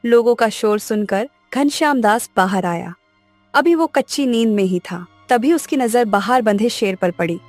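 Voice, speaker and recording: A woman's voice: a little high, lively intonation, moderate pace, a little mellow, no room sound, faint music behind. A woman narrating a scripted children's moral story.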